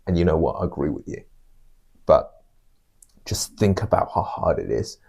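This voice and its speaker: A man's voice: rumbling low, animated, moderate pace, vocal fry, darkish tone, breathy edge. A man co-hosting a podcast in casual discussion, making points with repetition and rhetorical questions. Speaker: podcast co-host.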